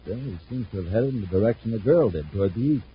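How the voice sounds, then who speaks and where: An American actor playing a troubled, plain-spoken construction superintendent in a vintage radio adventure serial. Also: male voice, fairly low pitch, animated, fast talker, natural voice. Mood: uneasy, earnest. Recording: muffled, faintly noisy vintage radio recording.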